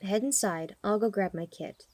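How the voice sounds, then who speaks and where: Woman acting as a brisk, caring pack healer. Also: medium pitch, darkish tone, lightly acted. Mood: alert, concerned. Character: practical, caring female healer for a werewolf pack. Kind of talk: audio roleplay acting.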